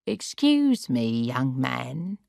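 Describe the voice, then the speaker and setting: A woman's voice: extremely low pitch, big pitch swings, extremely slow, creaky, darkish tone, no room sound, clean studio sound, full character voice. A woman voicing a demanding, forgetful grandmother in her eighties. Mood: insistent. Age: 80s. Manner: fussy, demanding.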